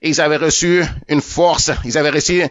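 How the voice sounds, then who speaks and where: A man's voice: fairly high pitch, steady tone, fairly quick, dry recording. A man giving a spoken religious testimony, often stammering over and repeating his words as he goes. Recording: dull lo-fi, dry.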